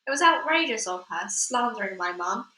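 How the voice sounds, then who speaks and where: Bright-voiced woman reading a storybook. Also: a little high, moderate pace. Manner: chatty and expressive, with fillers in asides. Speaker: storybook reader. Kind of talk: reading a children's book aloud. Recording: echoey room.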